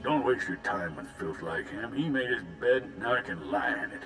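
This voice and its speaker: An actor playing a haughty, self-righteous senator in a video game, with an imperious, clipped delivery. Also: male voice, lively intonation, moderate pace, over music, natural voice. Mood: scornful. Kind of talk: video game cutscene acting. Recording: noisy, with low rumble.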